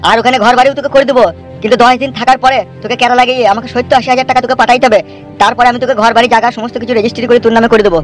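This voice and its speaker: Guy doing greedy village dad cartoon, rural west bengal accent, super fast n high, coarse and bossy. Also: level tone, faint music behind. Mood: hard bargaining. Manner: blunt, scolding, coarse. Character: grasping, foul-mouthed village father, bargaining hard. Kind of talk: comic cartoon dialogue.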